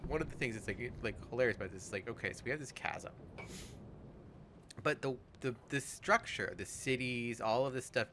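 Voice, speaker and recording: A man co-hosting a let's play, chatting casually and thinking aloud in a halting, musing way. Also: medium pitch, noisy background. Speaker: let's play co-host playing the game. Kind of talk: casual game commentary chat.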